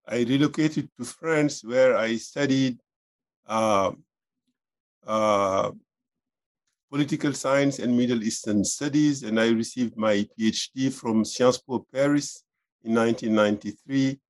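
A man in his fifties, a professor being interviewed, answering thoughtfully with many pauses and uhs. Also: medium pitch. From Senegal. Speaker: professor, guest.

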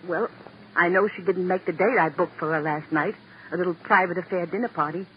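A man plays a sentimental showbiz booking agent in an old radio crime drama. The voice is fairly high, plaintive and rambling, on a muffled recording with faint hiss. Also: a little fast, natural voice. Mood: resigned, chatty.

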